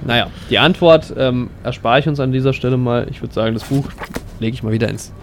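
A man's voice: medium pitch, quick pace. A man with a mid-range voice co-hosts a light improvised audio story. He speaks in casual banter and thinks aloud with some hesitation. A faint layer of noise sits behind his voice. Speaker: podcast co-host.

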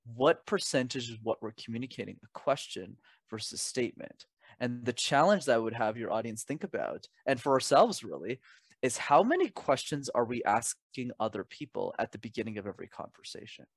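A man's voice: medium pitch, big pitch swings, a little fast, breathy edge, no room sound. A young man giving conversational advice as an interview guest, emphatic and articulate, often posing rhetorical questions. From Canada. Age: young adult. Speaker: interview guest, communication coach. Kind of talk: conversational advice and personal anecdotes.

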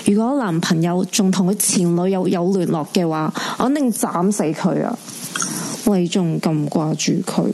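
Mellow, bossy clinic assistant, acted by a woman. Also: fairly low pitch, normal speed, natural voice. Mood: blunt, indignant. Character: brash, bossy female clinic assistant and friend. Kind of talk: Cantonese live radio drama acting. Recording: hissy, faintly noisy recording.

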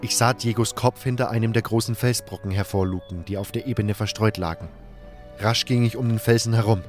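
A man's voice: fairly low pitch, fairly even intonation, fairly quick, soft background music. Man narrating a dramatic fantasy audiobook. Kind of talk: scripted first-person audiobook narration.

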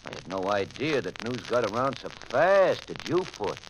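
An actor playing a smirking gangster boss who talks with taunting mock courtesy in a 1940s radio crime drama. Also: male voice, lowish voice, big pitch swings, normal speed. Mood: mock-innocent. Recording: crackly old-time radio recording.

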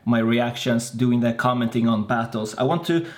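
A man's voice: mid-range voice, normal speed, a little mellow. Male show host, mid-range voice, informal and keen, conversational interview delivery. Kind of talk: casual online interview.

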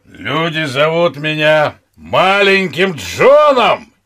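An actor playing a big, jovial, crafty outlaw in a Russian audio drama, hearty and teasing, with a husky voice. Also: male voice, on the high side, very animated, slow, a bit bright, no room sound, clean studio sound, heavily acted. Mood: cheerful bravado. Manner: hearty, teasing, mock-humble servility with superiors.

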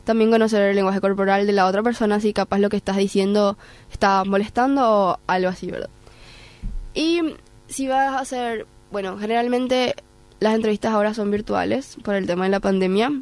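A woman's voice: mid-range voice, steady tone, a little fast, darkish tone, dry recording. A woman with a Paraguayan Spanish accent, speaking conversationally as a podcast guest giving advice. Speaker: guest, programme coordinator.

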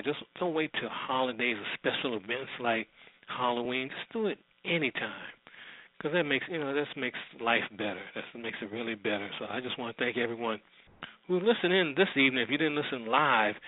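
A man's voice: medium pitch, no echo. A man hosting a radio show, chatting in a rambling, informal way. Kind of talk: casual radio sign-off chat. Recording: dull, narrow-band audio.

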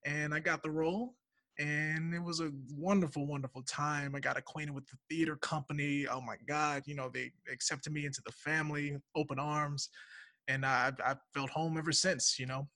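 Upbeat bright-voiced man from Louisiana. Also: a little high, fairly even intonation, moderate pace, dry recording. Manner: upbeat, laughs easily. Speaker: guest, marketing director. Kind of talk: casual interview chat.

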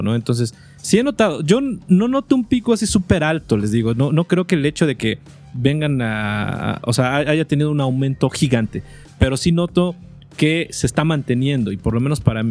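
A man's voice: mid-range voice, a little mellow. A man co-hosting a casual esports podcast, talking informally and often repeating words as he finds his phrasing. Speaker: podcast co-host. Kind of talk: casual chat about esports.

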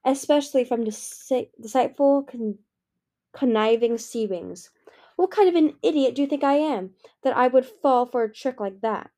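A woman voicing a cold, menacing male dragon king who growls his lines slowly. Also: sits high, dark tone, voice pushed up. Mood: angry. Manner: growling, clipped, icy.

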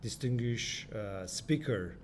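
A man hosts an interview podcast in halting, non-native English. His voice sits at an ordinary male pitch, with clear ambient noise behind him as if recorded at an event. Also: animated, a little mellow. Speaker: podcast host.

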